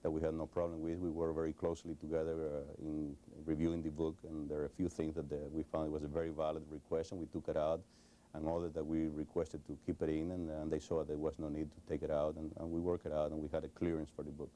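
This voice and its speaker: A man's voice: rumbling low, completely flat delivery, fast talker, mellow, slight background noise. A middle-aged man from Cuba with a Cuban Spanish accent, answering interview questions hesitantly with many fillers and restarts. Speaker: interview guest. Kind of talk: recorded television interview.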